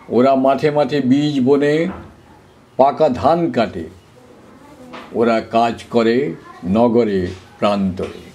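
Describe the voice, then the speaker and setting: A man reciting poetry in a dramatic, measured, declamatory way with deliberate pauses. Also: mid-range voice, a little mellow. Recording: faint background noise.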